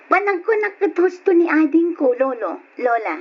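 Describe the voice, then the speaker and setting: An actress playing a gentle, dutiful young granddaughter with an Ilocano accent, respectful and hesitant in old radio drama style. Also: female voice, high voice, full character voice. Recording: noisy lo-fi radio drama recording.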